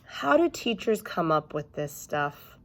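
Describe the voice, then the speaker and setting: A woman's voice: deep voice, big pitch swings, unhurried, heavily acted. A woman voicing a stubborn, blunt little schoolgirl in a children's storybook, with childish emphasis. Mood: cheeky, curious.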